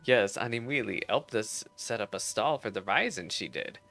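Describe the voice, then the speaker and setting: A man's voice: on the low side, animated, normal speed, vocal fry, pushed and bright, no room sound, soft background music, heavily acted. A man voicing a hearty, helpful festival worker from a fantasy game, with folksy turns of phrase.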